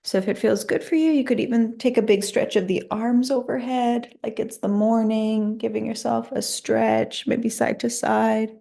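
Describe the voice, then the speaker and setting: A woman leading a guided meditation in a soothing, unhurried and gently inviting manner. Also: on the high side, fairly even intonation, vocal fry.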